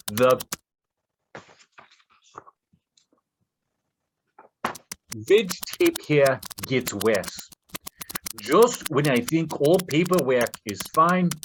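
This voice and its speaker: Desperate scammer played by a man, sweet-talking fake suitor, halting, very slow, swooping intonation, stage reading, noisy recording with key clicks. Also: fairly high pitch. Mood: coaxing, feigned sadness. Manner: halting, dictating as he composes. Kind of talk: readers theater acting.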